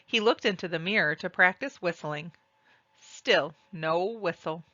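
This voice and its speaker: A woman reading a children's storybook aloud and describing its pictures, with deliberate, expressive phrasing. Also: on the low side, no room sound, muffled recording. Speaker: read-aloud narrator.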